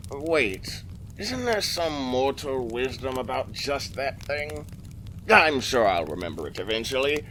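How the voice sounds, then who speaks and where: An actor playing a wry otherworldly keeper who observes mortals, narrating an audio drama in a sly, musing, theatrical way. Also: male voice, mid-range voice, a bit bright, a little hiss or hum, low-quality recording.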